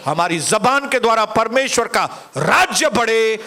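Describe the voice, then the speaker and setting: High-voiced, unhurried preaching pastor. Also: male voice, animated. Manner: preaching, rising to shouted calls to the congregation; soft, low, slow in prayer. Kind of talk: sermon and closing prayer.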